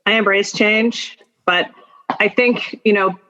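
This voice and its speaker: A woman speaking as an interview guest in a conversational, informal way, thinking aloud in halting phrases with many asides. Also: medium pitch, fairly even intonation, bright, forceful tone. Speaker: podcast guest, attorney and executive. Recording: dull lo-fi sound.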